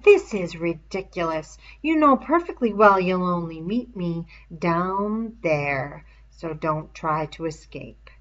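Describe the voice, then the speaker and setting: A woman voicing a menacing, fly-covered pig's head that taunts a boy slowly and mockingly like a stern schoolmaster, on a dull lo-fi recording. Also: fairly low pitch, animated. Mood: scolding.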